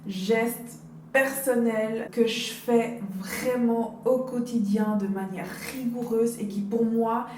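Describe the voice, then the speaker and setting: A woman's voice: a little high, fairly even intonation, slow, a little mellow. A woman in her late twenties chatting casually to camera as a beauty vlogger, giving personal advice and addressing her viewers directly. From Dominican Republic. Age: late 20s. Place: indoors, hotel. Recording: roomy, distant mic, faint background noise.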